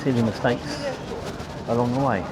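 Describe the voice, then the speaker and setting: A man with a London accent giving casual, rambling, low-key commentary as he films a walk outdoors. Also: wildly swooping pitch, normal speed. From London, England. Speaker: amateur photographer, vlogger. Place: outdoors on a riverside walkway. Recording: outdoor city noise behind voice.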